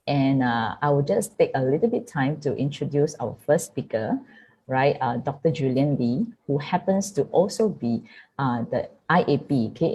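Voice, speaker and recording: A woman's voice: low-pitched, dark tone, breathy edge, poor audio. A woman hosting an online seminar, introducing a speaker with many fillers like "okay" and "right". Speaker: host. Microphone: video call.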